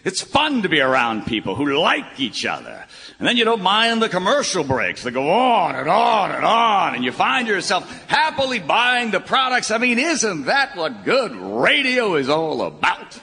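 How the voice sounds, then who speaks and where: A man playing a rambling, sentimental older baseball broadcaster, with halting, folksy oratory in a radio play. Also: sits high, huge pitch swings, bright, forceful tone, full character voice. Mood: preaching, fervent. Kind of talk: live radio play acting. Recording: echoey live hall, faint noise.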